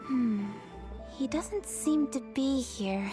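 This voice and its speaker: Game role: dutiful young lady official, high-pitched, polite, crisp in manner and formal. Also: takes it slowly, over music. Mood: businesslike. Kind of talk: video game voice acting.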